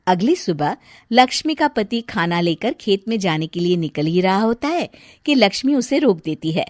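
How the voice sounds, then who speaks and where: A woman narrating a scripted story in an expressive storyteller's manner. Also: mid-range voice, moderate pace, dry recording, clean studio sound.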